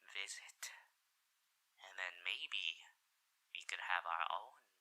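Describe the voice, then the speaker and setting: A man's voice: low-pitched, pushed and bright, exaggerated voice, low-quality recording. A man voicing a suave, flirtatious radio demon with a theatrical showman's delivery in a roleplay audio for the listener, moving between bold exclamations and intimate murmurs. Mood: flirtatious, teasing. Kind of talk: character roleplay ASMR addressed to the listener.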